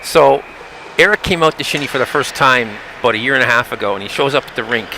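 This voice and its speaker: A man's voice: medium pitch, fast, gravelly. Brief: male color commentator, chatty and rambling, noisy broadcast. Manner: rambling, repeats words while searching.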